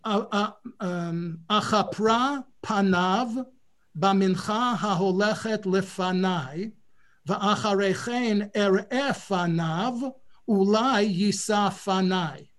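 A man leading an informal class discussion as a guest lecturer, animated, often repeating words as he starts a thought. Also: high-pitched, slow.

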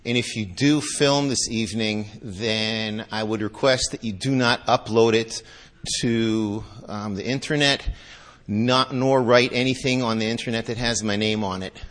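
A man's voice: fairly low pitch, steady tone, unhurried, fairly bright tone. A man speaking as a guest lecturer to a live audience, chatty and joking, restless in his delivery.

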